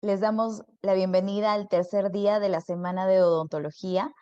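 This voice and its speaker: A woman hosting an event, politely introducing a guest speaker, slightly halting. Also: mid-range voice, fairly even intonation, mellow. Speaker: event host. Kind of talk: formal introduction. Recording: dull, lo-fi audio.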